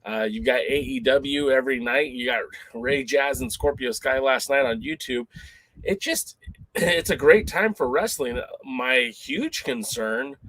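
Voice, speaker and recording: A man hosting a laid-back talk show, chatting loosely and filling gaps with ums and uhs. His voice sits at an ordinary middle pitch. The sound is close and dry. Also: measured pace, fairly bright tone, no room sound, poor audio. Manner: rambling and conversational, lots of fillers. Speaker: podcast host. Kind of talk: casual chat show.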